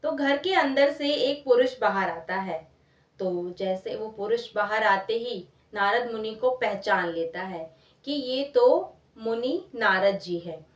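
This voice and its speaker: Slow, high-voiced woman storyteller in echoey room. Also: expressive. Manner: addresses listeners with rhetorical questions. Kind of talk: informal narration of a devotional moral tale. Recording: echoey room, distant microphone.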